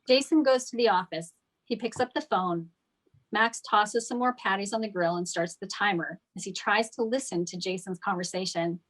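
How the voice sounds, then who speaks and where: A woman's voice: medium pitch, normal speed, a bit bright, rough recording. A woman narrating scripted stage directions at a table read.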